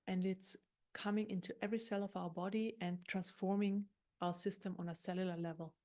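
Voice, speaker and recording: Woman gently guiding a meditation. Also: mid-range voice, fairly even intonation, fairly quick. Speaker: meditation guide. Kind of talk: guided meditation, unscripted narration.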